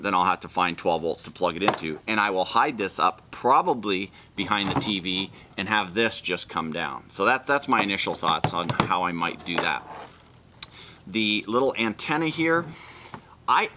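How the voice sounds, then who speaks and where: A man's voice: low-pitched, noisy background. A man hosting a casual product walkthrough, explaining things informally and step by step. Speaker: video host.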